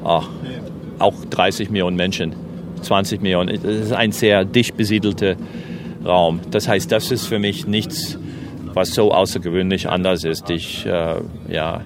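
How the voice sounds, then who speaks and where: Low-voiced American man chatting in a car. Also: normal speed. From New Jersey, USA. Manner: relaxed, hesitant talk with English words mixed in. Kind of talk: casual chat. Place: moving car. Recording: car with road noise. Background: road and traffic noise.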